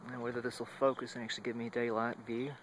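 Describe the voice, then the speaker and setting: A man giving a casual, unscripted hobbyist walkthrough on a phone, halting as he thinks aloud. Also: mid-range voice, flat delivery, slight background noise, rough recording. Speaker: hobbyist demonstrating a home radio antenna setup. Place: at home, moving between indoors and outside. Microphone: handheld phone while filming.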